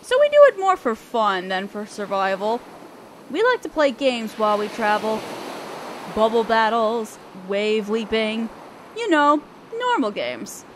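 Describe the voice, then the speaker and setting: A woman playing a guarded young female selkie in a roleplay audio drama, aloof and hesitant yet curious as she talks to the listener. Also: a little high. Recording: ocean waves and wind behind voice. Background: surf, waves and wind sound effects.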